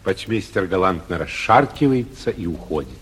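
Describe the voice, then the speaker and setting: A man's voice: deep voice, lively intonation, moderate pace, fairly bright tone, slightly rough, exaggerated voice. A man giving scripted narration of stage action in an old radio play recording. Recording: muffled 1949 radio recording.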